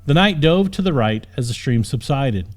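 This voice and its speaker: A man's voice: medium pitch, animated, normal speed. A man reading a fantasy story as an audiobook narrator, with dramatic scripted storytelling. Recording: music under the voice. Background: background music.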